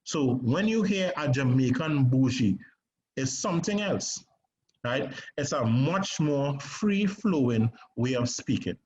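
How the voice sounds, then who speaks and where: A man's voice: medium pitch, slow pace. Trinidadian man teaching stage acting. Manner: lively, animated, often asks "right?". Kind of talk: stage acting lesson to a class. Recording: slightly dull recording with faint noise.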